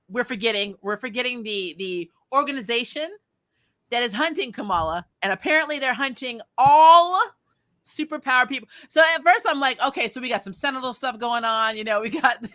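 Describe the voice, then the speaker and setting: A woman in a casual chat as a review show co-host, telling things in a slangy, animated way and acting out quotes. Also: fairly high pitch.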